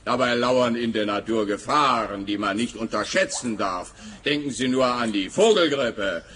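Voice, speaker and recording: An actor playing a pompous, dim police official who talks in a self-satisfied, long-winded way in a radio play. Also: male voice, mid-range voice, lively intonation, normal speed, a bit bright, natural voice.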